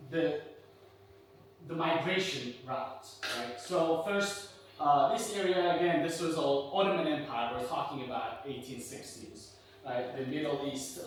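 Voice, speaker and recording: A man's voice: mid-range voice, slight background noise. Man lecturing in an echoey hall. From Turkey. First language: Circassian. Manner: loose, conversational storytelling. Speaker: guest lecturer. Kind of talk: university talk with slides. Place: lecture room. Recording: echoey hall, mic far off.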